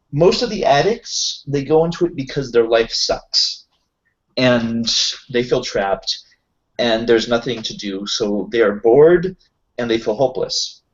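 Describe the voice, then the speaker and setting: Casual rambling man, dull lo-fi sound. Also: medium pitch. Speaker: tabletop roleplaying player. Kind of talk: casual in-character chat.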